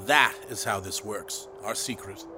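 An actor playing a brash, excitable, stubborn radio director in an audio drama, speaking with grand theatrical emphasis. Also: male voice, on the low side, a little slow, music in the background, lightly acted. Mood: earnest, persuasive. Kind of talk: audio drama acting set in 1930s radio world.

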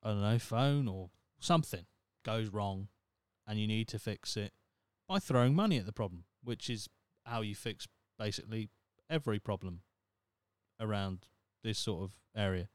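Brit guy, 30, rambly sweary podcast host, smooth voice, dead dry close mic. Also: lowish voice, moderate pace, dry recording. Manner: rambling, sweary, wry and self-mocking. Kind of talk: casual solo podcast monologue.

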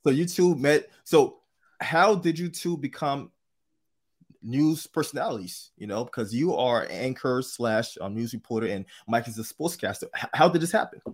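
A man's voice: mid-range voice, lively intonation, moderate pace, slightly rough. A man hosting an interview podcast, upbeat and chatty, with frequent fillers and restarts. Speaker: podcast host.

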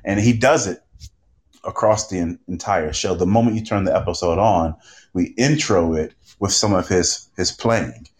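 A man's voice: sits low, normal speed. A man speaking on a live panel in an earnest, reflective way, telling stories with emphasis. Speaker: podcast host and panel guest. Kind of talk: live panel talk, storytelling.

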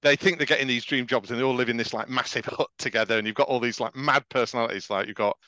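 A middle-aged man with a clearly bright, forward voice at an average pitch. He chats casually as a podcast co-host, often checking in with a "right?", and the sound is dry and close. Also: steady tone, fast talker, no echo. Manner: chatty, often tags phrases with "right?". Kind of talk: casual chat.

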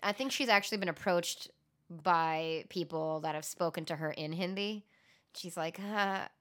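A woman's voice: on the low side, normal speed, a bit bright. A woman co-hosting a podcast in a casual chat, talking loosely with many ums and fillers; her home language is Gujarati. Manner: chatty, lots of ums and filler. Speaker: podcast co-host.

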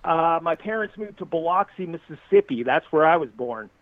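A man's voice: fairly high pitch, expressive, normal speed, no echo. A man in his forties from Southern California, a musician guest talking in a rambling, jokey way and laughing often. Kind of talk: casual podcast interview. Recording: tinny, dry phone-like line.

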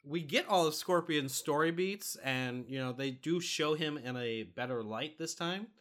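A man co-hosting a podcast, giving a casual review and explaining things in a hesitant way, pausing mid-thought. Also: on the high side, a bit bright. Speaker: podcast co-host reviewing a film.

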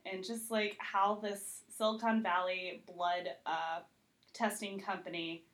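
A woman talks as a guest on a casual podcast. Her voice sits at a middle pitch and her manner is loose, giggly and conversational, full of "like" as a filler. The recording is roomy and echoey. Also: flat delivery, slow pace, fairly bright tone. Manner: conversational, heavy use of "like", giggly.

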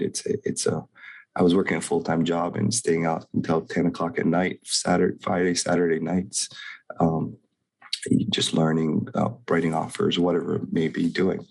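A middle-aged man from Hawaii talks as an interview guest in a relaxed, rambling way, with a lot of chuckling. His voice sits very low and gravelly, with a husky edge. He speaks in a flat, even tone. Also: normal speed, rough recording. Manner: laid-back, rambling, laughs often. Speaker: guest, real estate investor. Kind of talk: casual interview talk.

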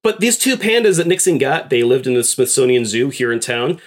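A male podcast co-host talks casually through his notes in a mid-pitched voice. His delivery is informal and conversational, often halting and doubling back on words as he explains. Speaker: podcast co-host presenting facts. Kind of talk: casual chat presenting notes.

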